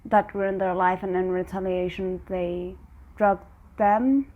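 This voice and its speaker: A woman's voice: fairly low pitch, level tone, slow pace, phone-quality sound. A woman hosting a reaction video, thinking aloud about the story and talking back to the characters on screen. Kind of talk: casual reaction commentary.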